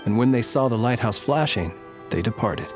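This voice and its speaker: A calm, courteous and mysterious guardian from a video game, voiced by a man. He speaks formally and evenly in a mid-pitched voice, with music clearly behind him. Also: normal speed, close to an everyday voice. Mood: composed. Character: composed, courteous, enigmatic male lighthouse guardian.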